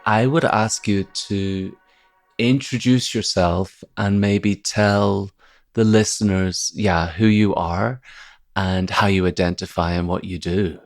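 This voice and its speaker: A man's voice: fairly low pitch, steady tone, takes it slowly, no room sound, clean studio sound, faint music behind. A man with a Northern Irish accent hosting a podcast, introducing and interviewing a guest. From Northern Ireland. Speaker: podcast host.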